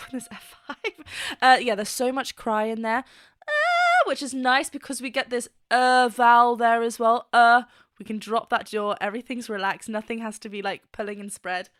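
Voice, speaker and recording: Female vocal coach, bright and enthusiastic, higher voice, dry close recording. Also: expressive, moderate pace, no room sound. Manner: enthusiastic, laughs often, sings snippets to demonstrate. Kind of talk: reaction and analysis.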